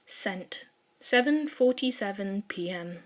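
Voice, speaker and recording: A woman narrates a scripted story at an unhurried, slow pace. Her pitch sits in an ordinary middle range for a woman. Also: expressive. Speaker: podfic narrator.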